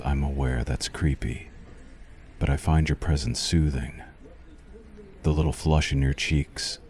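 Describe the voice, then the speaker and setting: Man acting a very deep, slow, suave vampire doctor. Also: warm tone, a little hiss or hum. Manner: slow, intimate, courtly, menacingly soothing. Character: suave possessive male vampire doctor, hungry and courtly. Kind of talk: ASMR roleplay.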